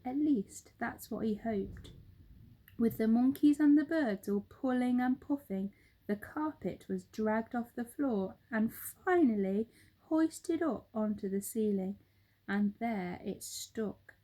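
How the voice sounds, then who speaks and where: Animated, high-voiced woman reading a storybook. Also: slow, poor audio. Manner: animated, dramatic read-aloud. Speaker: children's story reader. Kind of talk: expressive storybook narration.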